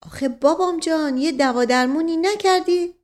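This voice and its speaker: A woman acts out an old country manservant from a comic audiobook. He is chatty and anxious, with a folksy, coaxing way of talking. The voice sits high and agitated. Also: a little mellow. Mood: dismayed. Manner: folksy, wheedling, repeats pet phrases. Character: anxious, talkative old rustic manservant, male. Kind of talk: audiobook character acting.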